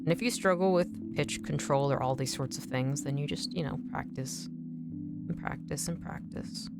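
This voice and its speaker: A young woman streamer with a slightly raspy, nerdy voice, chatting casually and encouragingly to her viewers in a rambling way. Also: deep voice, moderate pace. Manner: rambling, encouraging, lots of "like" and restarts. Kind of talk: casual chat to stream viewers while drawing. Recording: music playing in the background. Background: music playing.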